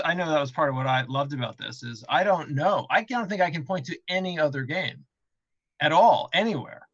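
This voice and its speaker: A man's voice: mid-range voice, pushed and bright, no echo, dull audio. A man in a casual panel discussion as a game developer, explaining things by thinking aloud and repeating himself.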